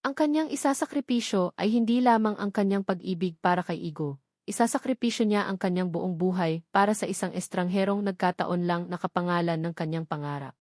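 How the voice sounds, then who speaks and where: A woman narrating a scripted romance story in a measured, melodramatic way. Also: lowish voice, darkish tone, dry recording, studio quality.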